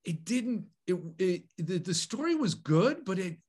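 A man hosting a book channel interview, enthusiastic and halting, full of fillers and restarts. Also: high voice, very animated, a little mellow, husky. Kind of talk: casual interview conversation.

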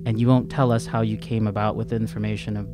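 A young man improvising dialogue as a player in a tabletop game, questioning in a hesitant, halting way. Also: on the low side, flat delivery. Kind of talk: improvised in-character dialogue in everyday voice. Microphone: close podcast microphone on stand. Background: instrumental music.

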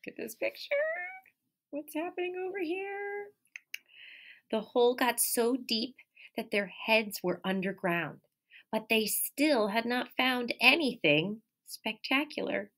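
Animated woman teacher reading to children. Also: a little high, measured pace. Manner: engaging young listeners with questions and prompts; expressive, stressing key words. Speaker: elementary school teacher. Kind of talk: animated children's picture-book read-aloud with asides. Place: small indoor room by a window.

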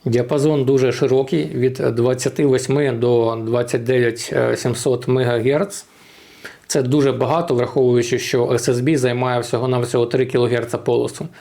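Creaky-voiced man explaining a radio hobby. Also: medium pitch, fairly even intonation, moderate pace, mellow. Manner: informal, explains step by step. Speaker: amateur radio vlogger. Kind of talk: explanatory tutorial narration.

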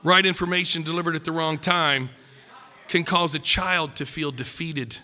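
A middle-aged man preaching at a pulpit, emphatic and joking, a little croaky from a cold. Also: on the high side, steady tone, moderate pace, pushed and bright, in a large hall. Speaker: pastor. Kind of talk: sermon. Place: church stage at a wooden pulpit. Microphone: podium mic.

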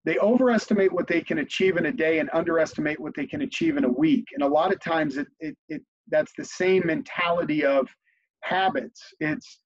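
A slightly high-pitched man in his forties talks in a relaxed, reflective way, circling back over his words as he gathers his thoughts. He is on a video call from a home office, and the webcam audio sounds dull and lo-fi. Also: fairly quick. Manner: reflective, repeats words while thinking. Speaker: company CEO, guest. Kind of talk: conversational interview.

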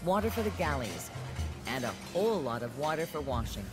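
A man doing measured, scripted narration for a documentary voice-over. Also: on the high side, sing-song. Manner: measured, explanatory. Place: studio voice-over. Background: music.

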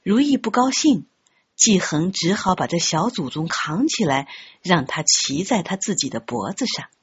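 A woman narrating an audiobook story in an expressive, scripted storytelling style. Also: mid-range voice, breathy edge, lo-fi sound. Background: background music.

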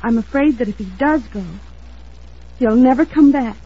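A woman's voice: high-pitched, normal speed, breathy edge. An actress playing an anxious, earnest young woman in an old-time radio drama, with dramatic, hesitant delivery. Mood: urgent, worried. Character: earnest, anxious young woman, defensive about her sweetheart. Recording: dull old radio recording.

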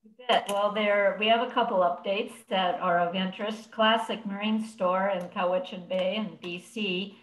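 A woman's voice: medium pitch, steady tone, normal speed, hardly any pauses. A North American woman in her sixties giving informational updates on a panel over a video call. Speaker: cruising guide editor giving updates. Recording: echoey room on video call.

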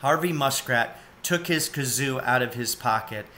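A man's voice: medium pitch, moderate pace, sharp tone, a little hiss or hum. A man in his forties reading a children's story aloud as narrator. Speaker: storybook reader. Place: cluttered indoor room at home, seated.

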